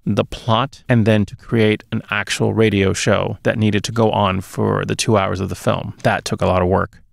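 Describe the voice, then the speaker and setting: A man's voice: on the low side, flat delivery, moderate pace, creaky, no echo. A middle-aged man co-hosting a movie podcast, giving casual opinions close to a studio microphone. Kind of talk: casual opinionated film chat. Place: small dark studio booth. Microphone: close podcast mic on boom arm.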